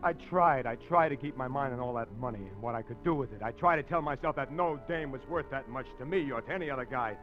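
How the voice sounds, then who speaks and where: A conflicted con man voiced by an actor in an old radio thriller, nervous and halting. His even, mid voice stammers when pressed. The sound is dull and lo-fi, with faint music behind. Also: medium pitch, natural voice. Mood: tense. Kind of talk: 1940s radio acting.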